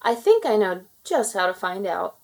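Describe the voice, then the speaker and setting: A woman voicing a kind, caring young mermaid princess from a storybook, gentle and expressive. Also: medium pitch, moderate pace, vocal fry. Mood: confident.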